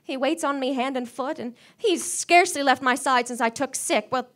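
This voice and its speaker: A woman's voice: high voice, moderate pace, fairly bright tone. A woman acting a fretful, demanding, self-pitying bedridden wife who stammers nervously, in live radio-thriller theater. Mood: flustered, nervous.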